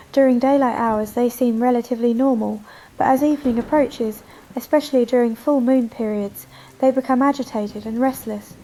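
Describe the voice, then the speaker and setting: An actress playing a concerned hospital night worker calling a radio show, clinical and earnest. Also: female voice, a little high, darkish tone, natural voice, rough recording. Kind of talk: radio drama call-in report.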